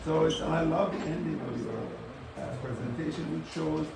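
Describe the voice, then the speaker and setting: A man thinking aloud in an unscripted academic architecture discussion, halting and often rephrasing as he moderates. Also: fairly high pitch. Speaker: discussion moderator responding to speakers. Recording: roomy, distant microphone, clear background noise.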